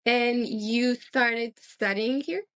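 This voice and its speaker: This is a woman with a somewhat high voice. She hosts an interview podcast and speaks conversationally as she addresses listeners and her guest. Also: very slow, fairly bright tone.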